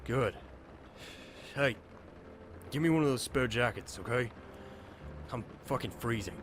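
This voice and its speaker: A man's voice: medium pitch, unhurried, close to an everyday voice. An actor playing a sarcastic, irritable young man in a fan audio drama, brusque in manner. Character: sarcastic, grumpy, irritable young man, foul-mouthed cynic. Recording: noisy ambience, vehicle sound behind.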